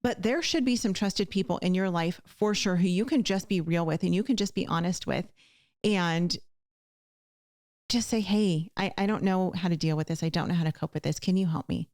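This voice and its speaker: A woman's voice: on the low side, normal speed, darkish tone, no room sound, studio quality. A woman hosting a conversational show, speaking in an earnest, emphatic way as she encourages her listeners directly. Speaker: podcast host.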